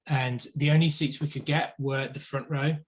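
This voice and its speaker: A man's voice: medium pitch, fairly even intonation, normal speed, breathy edge. A man on a podcast panel, talking casually and conversationally about films. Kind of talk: casual film discussion.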